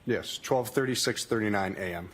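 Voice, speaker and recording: A man with a fairly low voice testifies from a courtroom witness stand as a police witness. He reads out log entries in a matter-of-fact, repetitive way, with faint noise on the recording. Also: moderate pace. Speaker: state trooper testifying as witness.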